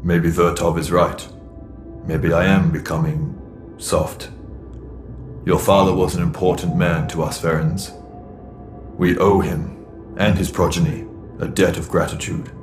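Sci-fi audio drama: stern, protective alien, solemn and terse, deep and monotone. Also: male voice, measured pace, over music. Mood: solemn, sympathetic. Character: Throg, grave lawful alien Ferran, secretly protective.

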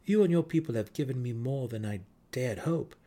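A man voicing an earnest exiled dark elf in a fantasy audiobook, frank and wistful, with formal, sincere phrasing. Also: medium pitch, animated, pitched above the performer's own voice, natural voice. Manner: formal, sincere, measured wording.

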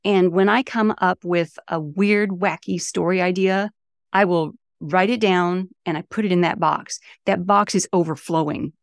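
A woman's voice: fairly low pitch, fairly even intonation, a little slow, no room sound, clean studio sound. A woman in her fifties from the St. Louis area, an author chatting enthusiastically as a podcast guest. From St. Louis area, USA. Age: 50s. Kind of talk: casual interview talk.

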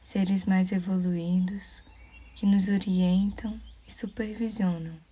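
A Brazilian woman leading a guided meditation in a soft, breathy near-whisper, calm and soothing, leaving measured pauses. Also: medium pitch.

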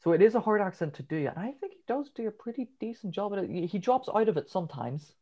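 A man's voice: sits high, sing-song, quick pace, mellow, lo-fi sound. Northern Irish man rambling about films. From Northern Ireland. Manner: rambling, lots of ums, swears casually. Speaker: podcast co-host. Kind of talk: casual film chat.

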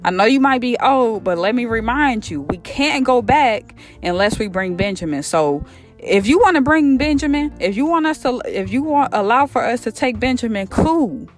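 A woman's voice: a little high, lively intonation, normal speed, hardly any pauses, soft background music, voice pushed up, lightly acted. A woman voicing anxious grown sons who ramble and plead insistently with their old father. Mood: frank, insistent.

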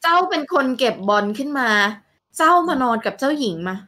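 Woman playing a high-voiced, bossy fairy-tale queen. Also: animated, a little fast, sharp tone. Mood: commanding. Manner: imperious, mock-formal. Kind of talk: improvised comedy podcast storytelling.

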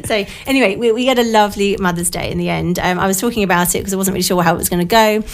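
A middle-aged British woman with a croaky, slightly blocked-nose voice from a cold, chatting informally as a radio host in a studio. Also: medium pitch, racing. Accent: British English. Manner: informal, self-deprecating, rambling anecdotes. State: has a cold. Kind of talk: casual chatty radio monologue.

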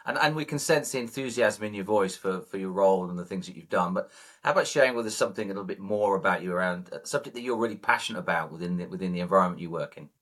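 Podcast host guy, lowish voice, talks quick, polite questions. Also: fairly bright tone. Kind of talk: interview questions.

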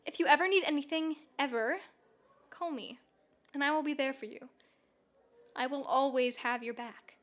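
Actress as high-voiced, tipsy, affectionate bride. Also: female voice, pushed and bright. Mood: tender, earnest. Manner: candid, rambling, teasing asides. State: slightly drunk. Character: Kit, tipsy newlywed bride, affectionate, playful older sister. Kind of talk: radio play monologue, intimate recorded diary.

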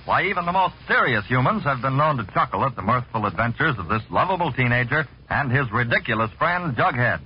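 Male vintage promo announcer, 1940s radio, polished sales delivery, lively intonation, muffled archival sound. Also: medium pitch, fairly quick, hardly any pauses, a little hiss or hum. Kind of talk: 1940s promotional scripted narration. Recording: dull vintage radio recording.

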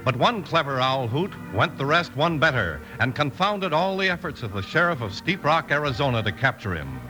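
A man's voice: on the high side, sing-song, moderate pace, rough recording. A man announcing and narrating an old-time radio show in a hearty, emphatic scripted style. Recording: old radio recording with music underneath. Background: music.

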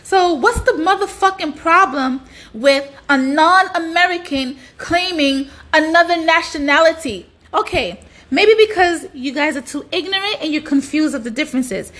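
High-pitched woman on an emphatic rant. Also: bright, forceful tone. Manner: emphatic, exasperated, rhetorical questioning; colloquial, frequent swearing. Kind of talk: opinionated monologue rant.

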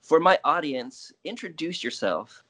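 A man's voice: a little high, sing-song, unhurried, bright, forceful tone, in a large hall. A man hosting a podcast, talking reflectively and repeating phrases for emphasis, then interviewing a guest. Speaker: podcast host. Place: Central Oregon.

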